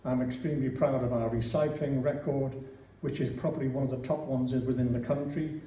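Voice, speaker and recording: Older Liverpool man, dark echoey voice. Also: mid-range voice, steady tone, fairly quick. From Liverpool, England. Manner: chatty, anecdotal, with frequent restarts. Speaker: local council candidate. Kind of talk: hustings speech. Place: large church hall. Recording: echoey hall, distant mic, faint hiss.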